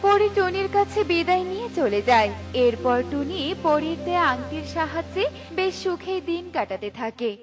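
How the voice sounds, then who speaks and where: Lady narrator for kids cartoon tale, high voice, music behind. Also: lively intonation, normal speed. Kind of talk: scripted children's story narration.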